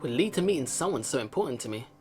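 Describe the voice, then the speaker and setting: Expressive man reading a visual novel over music. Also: mid-range voice, rapid-fire. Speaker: let's play host. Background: game music.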